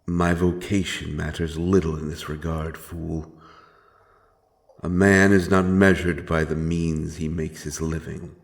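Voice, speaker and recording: A man playing a stern, menacing but honourable monster hunter in a dark fantasy audio drama, speaking in solemn, archaic phrases. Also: extremely low pitch, little pitch movement, a little slow, creaky, exaggerated voice. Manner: archaic, solemn, threatening speech. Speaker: audio roleplay performer. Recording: music behind the voice.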